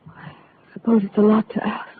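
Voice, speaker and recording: Woman playing a hushed, troubled married woman. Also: medium pitch, little pitch movement, moderate pace, close to an everyday voice. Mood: hushed, wistful. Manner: soft, hushed, mournful. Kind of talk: 1940s radio acting. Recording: tinny old radio broadcast, faint hiss.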